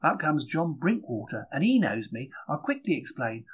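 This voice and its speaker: Husky, plucky bookseller, acted by a man. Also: on the high side, sing-song, moderate pace. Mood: matter-of-fact, indignant. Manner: colloquial, wry, emphatic storytelling. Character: young 1930s London working-class bookseller, chatty, plucky, easily wounded. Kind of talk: dramatic first-person diary reading.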